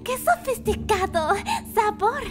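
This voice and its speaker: Casting: perky young female cartoon cat played by a woman, Latin American Spanish, extremely high and swooping, bubbly and bossy. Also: expressive, slow pace, exaggerated voice. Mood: delighted. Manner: bubbly, eager. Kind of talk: animated cartoon fandub acting. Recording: loud music behind the voice.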